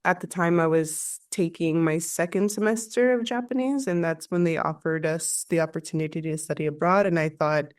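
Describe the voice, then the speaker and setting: A young woman speaks thoughtfully about her experience as a student. Her voice sits a little low, and she talks in a steady, casual way. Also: moderate pace, hardly any pauses. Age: young adult. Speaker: community college student. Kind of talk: casual personal story.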